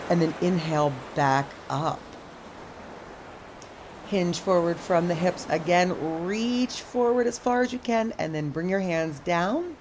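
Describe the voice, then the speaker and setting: A middle-aged woman with a slightly low voice calmly guides a stretching routine, one cue at a time. She is recorded outdoors on a beach from a camera set some way off. Clear ocean surf rolls behind her. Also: background noise. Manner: calm step-by-step cueing. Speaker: stretch instructor. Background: ocean waves.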